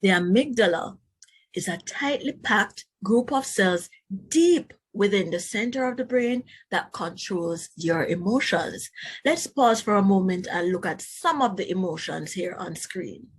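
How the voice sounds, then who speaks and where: A woman reading aloud as a teacher to young children, instructive and expressive, adding explanatory asides. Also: mid-range voice, unhurried, breathy edge. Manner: instructive, addresses young listeners directly, expressive emphasis.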